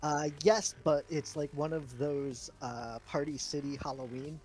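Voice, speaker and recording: A man's voice: fairly high pitch, fairly even intonation, unhurried, darkish tone, slightly rough, rough recording. A man chatting casually and off the cuff as he runs a wrestling booking game on a live stream, thinking aloud as he goes. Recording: background music under the voice. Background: chillhop video game music.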